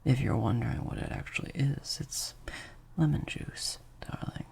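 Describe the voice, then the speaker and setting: A man playing a slightly sadistic vampire master in an improvised audio roleplay, softly taunting and praising in a breathy, creaky near-whisper. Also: medium pitch, full character voice. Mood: cold, menacing. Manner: slow, drawn-out, softly taunting.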